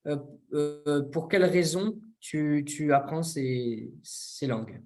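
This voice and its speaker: A young man from France, co-hosting a casual discussion and often pausing to search for words. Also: fairly high pitch, animated. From France, near Toulouse. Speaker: co-host.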